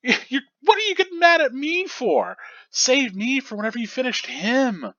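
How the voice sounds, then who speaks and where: A squealing, shrill little stuffed-toy spirit, mischievous and whiny, bouncing up and down in pitch, as a man puts him on. The voice is bright and sharp, and it sounds dull and lo-fi, like a homemade storybook reading. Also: very animated, moderate pace, dry recording, heavily acted. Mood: complaining.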